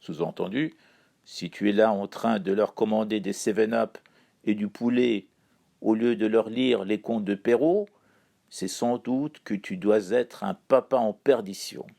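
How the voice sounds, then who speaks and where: Male columnist from Iran, dark gravelly voice, dry ironic read. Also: lowish voice. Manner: wry, ironic, measured delivery. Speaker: chronicler. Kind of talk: read-aloud spoken column.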